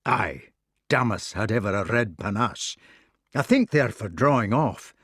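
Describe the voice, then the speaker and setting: A man voicing a big, blunt knight with a gruff, low delivery. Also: expressive, fairly bright tone, no room sound, studio quality, full character voice. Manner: gruff, deep, slow drawl. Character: big bluff Scottish knight, blunt and hearty.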